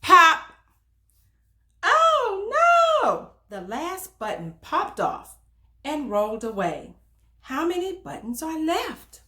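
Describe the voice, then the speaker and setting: A woman reads a children's storybook aloud as host, in an expressive, emphatic manner that speaks directly to young listeners. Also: high-pitched, takes it slowly. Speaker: children's book reader and host.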